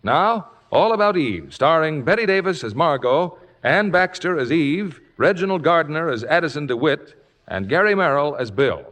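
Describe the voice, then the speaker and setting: A man presenting a 1950s radio theater show in a formal, polished, measured, scripted manner. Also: a little high, big pitch swings, fairly bright tone. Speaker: radio theater host and announcer. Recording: old radio broadcast with faint music.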